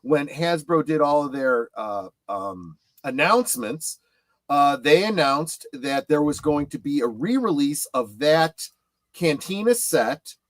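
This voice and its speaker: A middle-aged man in casual panel chat, speaking in a halting but emphatic way. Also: a little high, animated, no echo. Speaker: show panelist. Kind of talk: casual chat about collectibles.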